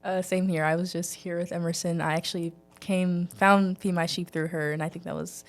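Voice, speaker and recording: A woman's voice: on the low side, level tone, fairly quick, faint music behind. A young woman from Texas talking casually as a student guest in an interview. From Texas, United States. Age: young adult. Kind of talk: casual chat.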